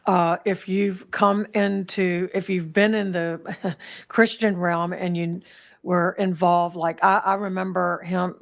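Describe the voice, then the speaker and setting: A woman co-hosts a casual live chat in a low, gravelly voice. She calmly fills in details and laughs easily, and the sound is dull and lo-fi. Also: slow. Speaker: co-host.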